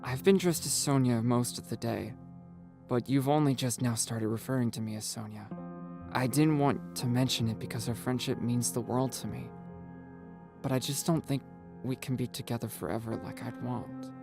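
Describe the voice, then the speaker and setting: A man voicing a gentle, worried transgender teenage girl, soft and hesitant, in a story reading. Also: medium pitch, moderate pace, creaky, over music, close to an everyday voice. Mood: sad, resigned.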